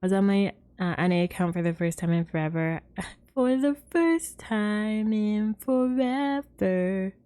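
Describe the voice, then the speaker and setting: A woman's voice: mid-range voice, a little slow, mellow. A woman livestreaming a game, chatting casually and in a giggly way with her viewers. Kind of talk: casual livestream chat while gaming. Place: livestream with game music behind.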